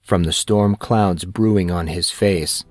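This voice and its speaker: A man narrating a fantasy novel in a measured, even storytelling manner. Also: sits low, no room sound. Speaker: audiobook narrator.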